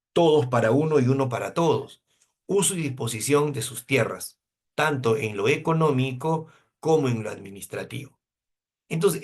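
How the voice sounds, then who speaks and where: A Peruvian man in his fifties teaching a law class, asking rhetorical questions and answering them himself. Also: mid-range voice. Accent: Peruvian Spanish. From Peru, coast. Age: 50s. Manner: asks questions and answers them himself, uses hypothetical examples. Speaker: law lecturer.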